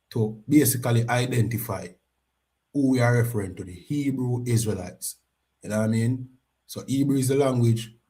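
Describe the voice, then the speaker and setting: Male, Jamaican accent, lecturing class leader, deep mellow tone. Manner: repeats "you know what I mean?". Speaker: religious class teacher.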